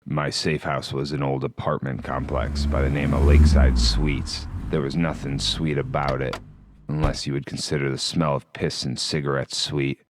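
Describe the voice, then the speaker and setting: Very deep, brooding hitman played by a man. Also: level tone, moderate pace. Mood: grim, brooding. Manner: grim, terse, profane. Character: brooding hitman antihero with a rigid moral code. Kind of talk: hardboiled noir game narration. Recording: music behind the voice.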